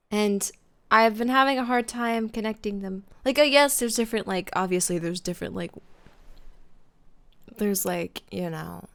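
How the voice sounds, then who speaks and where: A woman's voice: mid-range voice, a little slow, creaky, long pauses, fairly bright tone. A young woman streamer chatting casually with viewers, rambling with lots of fillers and restarts. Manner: chats with viewers by name. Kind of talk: casual live-stream chat.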